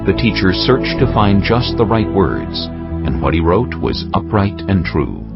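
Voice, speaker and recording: Male scripture narrator, low-pitched, animated intonation, grave and emphatic, dramatic reading, dull lo-fi sound. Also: unhurried. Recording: dull lo-fi audio under loud music.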